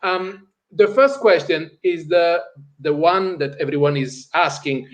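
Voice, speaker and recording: A man with an Italian accent hosting an interview, asking questions hesitantly with many pauses. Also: on the high side. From Italy. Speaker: interviewer, host.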